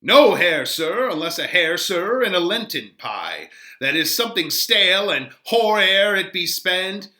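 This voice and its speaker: A man playing a crude, teasing young gentleman in a dramatic Shakespeare reading, declaiming theatrically with a drawn-out, mocking singsong. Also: high-pitched, very animated, voice pushed up, heavily acted. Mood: mocking, boisterous.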